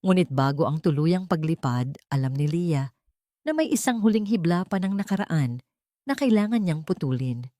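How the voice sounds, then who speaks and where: A woman's voice: low voice, lively intonation, normal speed, dark tone, dry recording, clean studio sound. A woman with a Filipino accent narrating a dramatic story from a script.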